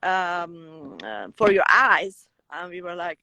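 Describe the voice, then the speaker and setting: Persian-accented woman, bright and halting. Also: lowish voice, big pitch swings, dry recording. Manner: halting, many restarts and fillers. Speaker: interview guest. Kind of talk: conversational interview.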